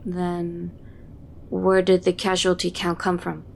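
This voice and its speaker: A woman's voice: lowish voice, completely flat delivery, slow pace, gravelly, slight background noise. A woman playing a stern, skeptical military intelligence interrogator in a sci-fi audio drama, curt and authoritative.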